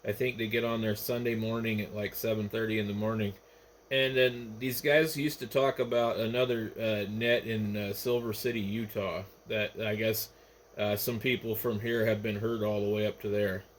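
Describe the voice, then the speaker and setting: A man's voice: on the low side, flat delivery, moderate pace. An American man, an amateur radio operator, chatting casually over the radio. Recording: noisy ham radio transmission, static behind.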